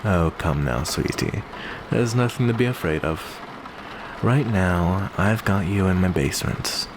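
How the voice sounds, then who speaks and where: A man playing a genteel male vampire who addresses the listener with endearments in a soft, close ASMR roleplay monologue. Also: sits low. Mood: sinister, gloating. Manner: unhurried, genteel, uses endearments. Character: courteous, smooth male vampire host with hidden predatory menace. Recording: clear steady background noise.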